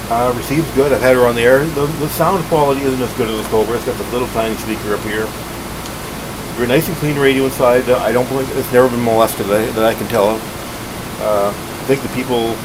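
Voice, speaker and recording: Man rambling casually over steady background hiss. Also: mid-range voice. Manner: rambling, halting, plain-spoken. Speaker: radio collector and video host. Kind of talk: casual hands-on gadget overview. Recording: steady hiss and hum behind voice.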